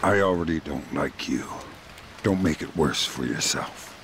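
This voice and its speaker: An actor playing a menacing, wisecracking veteran spy in a video game, sardonic and deadpan. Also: male voice, very deep voice, measured pace, background noise. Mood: cold, menacing.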